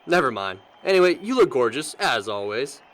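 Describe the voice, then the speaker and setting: A man acting a flattering, easygoing young partygoer who keeps the peace in an amateur audio drama. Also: medium pitch, normal speed.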